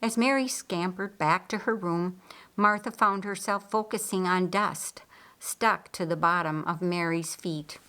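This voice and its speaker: A woman reading an audiobook story in a deliberate, expressive storytelling style. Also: lowish voice. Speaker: audiobook narrator. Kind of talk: scripted storytelling narration with acted dialogue.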